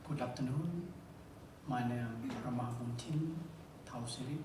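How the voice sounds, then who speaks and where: Guy asking a question from the floor, slow and halting, far off mic. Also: mid-range voice. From Thailand. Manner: halting, simple broken English. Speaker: audience member. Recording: echoey hall, distant mic, background noise.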